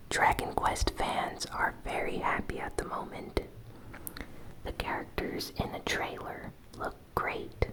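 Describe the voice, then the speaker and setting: A woman narrates news in ASMR style, entirely in a breathy, unvoiced whisper. Her pace is slow and deliberate. She sets out each item softly, in short separate phrases, as the host of a show. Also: rough recording.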